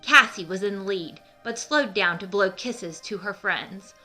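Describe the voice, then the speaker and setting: A woman's voice: medium pitch, measured pace, bright, forceful tone, soft background music, rough recording. A woman reading a children's storybook aloud, explaining things simply as the host.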